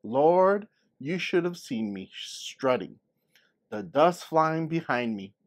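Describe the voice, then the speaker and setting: A man's voice: a little high, big pitch swings, takes it slowly. A man reading a storybook as a folksy elderly Southern grandmother telling tales of her childhood. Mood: fond reminiscing. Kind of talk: storybook read-aloud.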